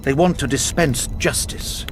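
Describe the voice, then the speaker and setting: A man's voice: medium pitch, measured pace, noisy background, natural voice. An actor playing an agitated townsman in a video game, raising his voice with urgency. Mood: alarmed. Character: agitated male townsman bringing news of unrest.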